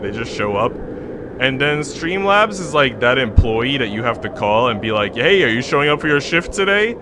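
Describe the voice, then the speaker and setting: A male game streamer with a middle-pitched voice talks in a casual, rambling way and reads visual novel text aloud. His delivery is chatty and jokey. A clear noisy hum sits behind his voice. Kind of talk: casual livestream chat mixed with reading visual novel narration aloud.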